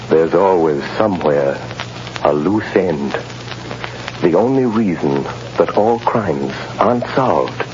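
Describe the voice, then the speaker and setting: A man playing a mysterious, commanding vigilante in an old-time radio drama, with deliberate, dramatic delivery. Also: sits low. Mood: urgent, mysterious. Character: mysterious commanding male mind-reading vigilante, urgent and intense. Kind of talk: 1930s-40s radio acting. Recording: muffled, noisy old radio broadcast recording.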